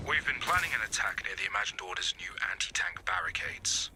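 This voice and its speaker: A male actor voicing a stern, guarded resistance commander in a video game, giving terse, measured orders. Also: low-pitched, expressive, bright, forceful tone, slight background noise, exaggerated voice, low-quality recording. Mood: businesslike, resolute. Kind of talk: video game mission briefing.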